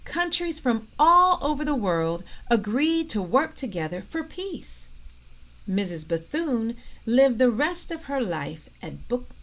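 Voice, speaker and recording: Female storytime reader, mid-pitched, unhurried and expressive, phrasing carefully for young listeners. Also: rough recording. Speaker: storytime reader and host, organisation president. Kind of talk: reading a children's biography aloud.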